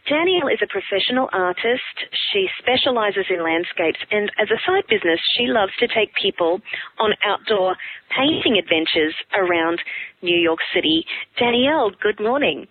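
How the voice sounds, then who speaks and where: A woman's voice: medium pitch, sing-song, moderate pace. An enthusiastic Australian woman hosts an interview and asks her guest questions. Her voice sounds tinny, as if it comes through a telephone. There is almost no room echo. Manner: upbeat, enthusiastic. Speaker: podcast host. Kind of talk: interview hosting. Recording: tinny phone line.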